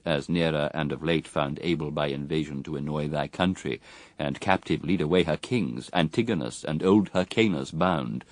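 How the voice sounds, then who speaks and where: Deep, slow, scheming devil. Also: male voice, no echo, exaggerated voice. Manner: persuasive, measured, declamatory rhetoric. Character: Satan, proud, scheming tempter offering worldly power. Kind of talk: BBC radio drama, theatrical verse recitation.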